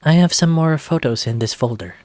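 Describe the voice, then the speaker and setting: A man's voice: on the high side, brisk, a little mellow, dry recording, soft background music, voice pushed up, natural voice. Sly, coaxing silver fox voiced by a man. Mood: casual, pushy. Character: sly, self-assured male silver fox mentor.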